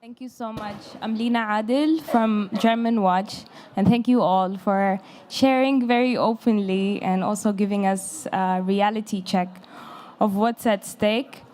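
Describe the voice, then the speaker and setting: A woman's voice: mid-range voice, steady tone, unhurried, a little mellow, a little hiss or hum. A woman from an NGO asking a polite, articulate question at a conference session. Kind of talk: audience question. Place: conference pavilion.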